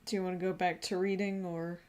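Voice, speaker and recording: A woman's voice: on the low side, flat delivery, a little fast. A woman livestreamer chatting casually while playing through a video game. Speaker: streamer voicing a game playthrough with a friend. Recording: loud background music.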